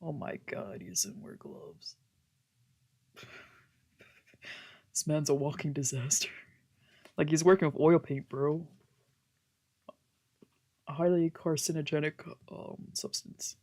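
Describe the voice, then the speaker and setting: A woman's voice: low-pitched, fairly even intonation, slow, pauses often, a little mellow. A woman reading a visual novel aloud for a let's play, moving between story narration and casual, slangy asides. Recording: music playing behind the voice.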